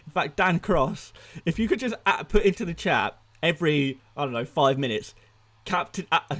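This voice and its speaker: A man hosting a casual live stream, chatty and upbeat, laughing at himself as he reads out viewers' comments. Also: on the high side. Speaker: fantasy football streamer.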